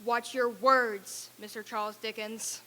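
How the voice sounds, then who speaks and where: A woman's voice: a little high, fairly even intonation, a little slow, pushed and bright. A woman playing a grumpy, miserly old businessman, curt and scornful, in a stage reading of a radio play. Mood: curt, stern.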